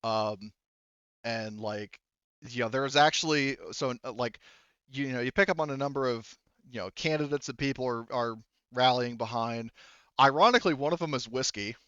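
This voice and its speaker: A man narrating a tabletop game as game master in a casual, halting, rambling and sometimes profane way. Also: mid-range voice, bright, forceful tone, smooth, no echo, muffled recording.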